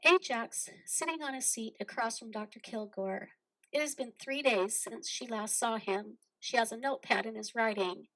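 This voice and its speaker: Higher-voiced woman narrating a play. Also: normal speed, a bit bright, poor audio. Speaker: narrator reading stage directions. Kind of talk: scripted narration.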